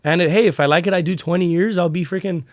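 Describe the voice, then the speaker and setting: A young man with a hoarse, worn-out voice, chatting loosely on a podcast. He rambles, full of fillers and slang, sitting a touch high in pitch. The sound is dry and close. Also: fairly even intonation, fast talker, no echo. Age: 20s. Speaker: podcast host. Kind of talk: casual chat.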